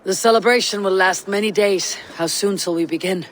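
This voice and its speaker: An actor playing a steady, loyal Viking warrior and counsellor in a game cutscene, speaking in a measured, formal way. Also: male voice, high-pitched, slight background noise. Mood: composed. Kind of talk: dramatic game cutscene acting.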